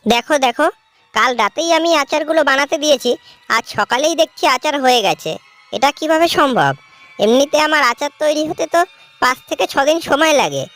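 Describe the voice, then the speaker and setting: A woman's voice: high-pitched, lively intonation, moderate pace, a bit bright, faint music behind. A woman voicing an anxious village farmer's wife in a cartoon, with a questioning manner. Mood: amazed.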